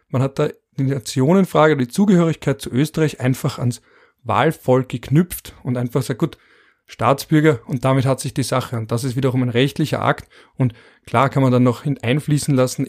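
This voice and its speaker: Musing podcast host reading statistics. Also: male voice, medium pitch, a little mellow. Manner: reads out statistics, musing and wry. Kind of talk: conversational podcast discussion.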